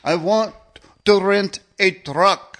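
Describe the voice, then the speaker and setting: Man putting on a belligerent, halting foreign truck renter, high-pitched. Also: a bit bright. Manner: halting, broken-grammar speech.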